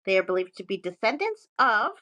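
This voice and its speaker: A woman's voice: medium pitch, very animated, fairly quick, sharp tone, dry recording. Irreverent woman co-hosting a podcast, dry close sound. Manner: irreverent, sweary, self-mocking asides. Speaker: podcast co-host presenting research. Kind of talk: casual podcast talk, partly reading notes.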